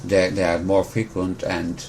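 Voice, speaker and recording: A man from Turkey, a physician calmly explaining a disease in an interview, precise and pausing to rephrase. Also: low-pitched, slight background noise. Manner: measured, precise, pauses to rephrase. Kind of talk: explanatory medical interview answers.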